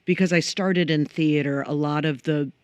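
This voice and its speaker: A middle-aged woman singer with a low voice, chatting as a guest in a studio interview, reflective and full of restarts. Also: fairly even intonation, normal speed, clear, resonant tone, dry recording. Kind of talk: casual conversational interview. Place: radio studio.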